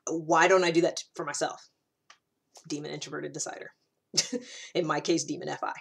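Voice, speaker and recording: A woman's voice: deep voice, fairly even intonation, moderate pace, vocal fry, a bit bright, breathy edge. A woman giving a casual explanatory monologue, thinking aloud in a conversational way and leaning on key words. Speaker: personality typing vlogger.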